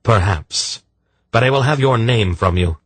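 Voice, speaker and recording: A man's voice: fairly low pitch, lively intonation, unhurried, breathy edge, no echo, voice pushed up. A man voicing a stern sorceress in a fantasy audiobook, cold, imperious and sure of her power. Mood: stern, commanding.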